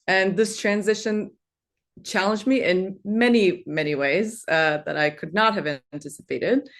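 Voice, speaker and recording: A woman in her twenties, born in Turkey with Turkish as her first language, speaking earnestly on a webinar panel with frequent ums and uhs. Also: medium pitch. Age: 20s. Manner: earnest, fills pauses with um and uh.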